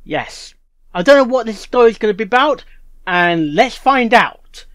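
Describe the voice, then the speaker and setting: A man giving casual live reaction commentary, excitable and apologetic, often interjecting. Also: sits high, normal speed, no room sound, studio quality. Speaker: reaction video host.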